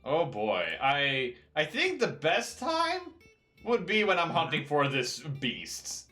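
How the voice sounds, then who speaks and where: A man's voice: on the high side, wildly swooping pitch, slow, bright, forceful tone. A man hosting a casual let's play, chatting, laughing and reading game text aloud. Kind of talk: casual gameplay commentary. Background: game music.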